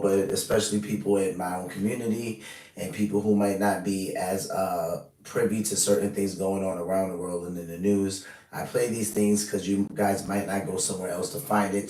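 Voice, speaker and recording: A man's voice: on the low side, darkish tone, reverberant, distant mic. A man hosting a casual news reaction live stream, talking straight to his chat, his voice a little scratchy. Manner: chatty, talks straight to chat. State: slightly scratchy voice.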